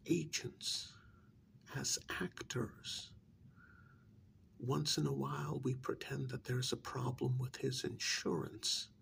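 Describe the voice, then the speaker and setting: A man playing a stern, secretive government handler in an ASMR role-play, speaking softly in a pointed, reprimanding, deliberate way. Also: medium pitch, slight background noise, heavily acted. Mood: insistent, secretive. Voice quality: breathy near-whisper with gravelly creak. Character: stern, secretive male government handler scolding an undercover agent.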